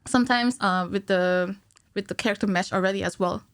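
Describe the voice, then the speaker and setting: Female podcast guest, thirties, mid-range voice, conversational explainer who pauses to think; dry studio recording. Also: mid-range voice, clean tone, no room sound. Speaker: podcast guest, cinematic animator. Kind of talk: conversational technical explanation in an interview. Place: small indoor podcast studio. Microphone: studio microphone on a stand.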